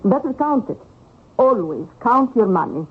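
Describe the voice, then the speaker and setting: An actress playing a fretful, pious old Russian peasant mother with a husky voice and an admonishing, emphatic manner, in an old-time radio drama. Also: on the high side, very animated, a little slow, exaggerated voice. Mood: fond, scolding. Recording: narrow, lo-fi old radio broadcast.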